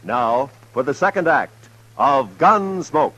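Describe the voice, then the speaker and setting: A man's voice: medium pitch, lively intonation, takes it slowly, fairly bright tone, lo-fi sound. A man announcing in scripted, old-time 1950s radio style.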